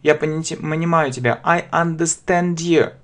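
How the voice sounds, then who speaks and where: A man giving a beginner English grammar lesson in a video, often pausing on fillers and saying English examples slowly and with stress. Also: on the high side, fairly bright tone. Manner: slow, emphatic English examples with many "a" fillers. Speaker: English teacher.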